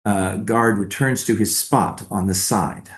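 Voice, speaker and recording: A man's voice: lowish voice, unhurried. A man reading stage directions as scripted narration for a staged play reading.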